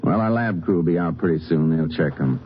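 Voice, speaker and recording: An actor playing a calm, laconic Texas Ranger investigator in a 1950s radio drama, terse and methodical. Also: male voice, low-pitched, fairly quick. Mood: businesslike. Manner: terse, methodical, matter-of-fact. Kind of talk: 1950s radio drama acting and case narration. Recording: dull old radio recording.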